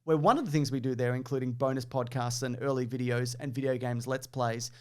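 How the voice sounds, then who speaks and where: A man's voice: mid-range voice, steady tone, fairly quick, darkish tone. A man hosting a casual quiz in a dry, teasing, joking way, chatting and reading bits aloud. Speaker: quiz host and podcaster.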